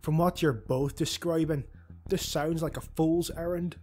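A man's voice: a little high, fairly even intonation, unhurried, a little mellow, voice pushed up, lightly acted. A man voicing a wary, sceptical female elf retainer who speaks bluntly and doubtfully in an audiobook.

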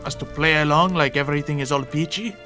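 A man's voice: a little high, fast, pushed and bright. A man playing a wary, serious, protective guild member in improvised game roleplay. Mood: suspicious. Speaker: roleplay actor. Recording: background music under voice.

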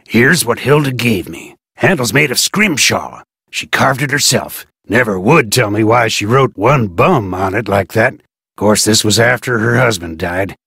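An actor playing a gruff, blunt fisherman in a video game, folksy and dismissive. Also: mid-range voice, pushed and bright, dry recording, clean studio sound, full character voice. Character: gruff fisherman and harbor master hopeful, blunt.

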